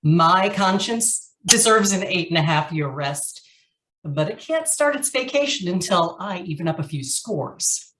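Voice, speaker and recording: A woman's voice: on the low side, animated, measured pace, a bit bright. Heard from a distance in an echoey room, a woman acts a hard, vengeful female ex-convict from a radio noir. She talks with sardonic menace, as someone bent on settling scores. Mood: menacing, bitter. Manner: tough, sardonic, threatening. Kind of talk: old-time radio noir acting. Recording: roomy echo, distant from the microphone.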